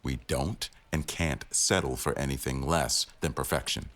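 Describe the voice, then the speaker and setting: Very low male voice, acted: demanding newsroom boss for audio roleplay, dry wit, firm authority. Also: normal speed, a bit bright. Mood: stern, businesslike. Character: exacting, sardonic male executive news producer, the boss. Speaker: voice actor in a boyfriend audio roleplay. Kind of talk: scripted ASMR roleplay, addressing staff at a meeting.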